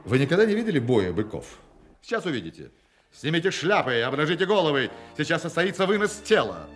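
An actor playing a witty, self-assured swindler in a radio play, with mocking, theatrical, mock-solemn pronouncements. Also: male voice, on the high side, very animated, quick pace. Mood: gleeful commentary. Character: witty, self-assured swindler, a grown man, grandly ironic. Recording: studio radio play with music.

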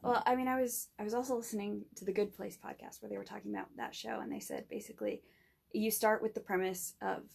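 Gravelly young woman, rambling. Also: medium pitch, animated, fast, darkish tone. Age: young adult. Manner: rambling, with many fillers and restarts. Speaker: student pitching a project. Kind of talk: casual conversation.

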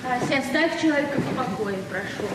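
High-voiced librarian, echoey old radio. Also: female voice, lively intonation, fairly quick. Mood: embarrassed, chiding. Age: middle-aged. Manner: plain-spoken, apologetic, talkative. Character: modest middle-aged provincial librarian woman, naive and frank. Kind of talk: 1970s Soviet radio drama acting. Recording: noisy old radio recording, echoey, distant.

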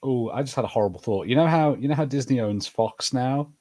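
A man's voice: mid-range voice, fairly quick, darkish tone. A man co-hosting a podcast in casual, bantering conversation about pop culture. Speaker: podcast host.

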